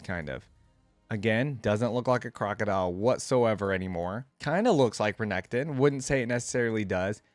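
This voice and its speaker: A man's voice: fairly low pitch, no room sound. A man giving casual reaction commentary in a conversational way, thinking aloud and sharing his opinions. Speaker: reaction video creator.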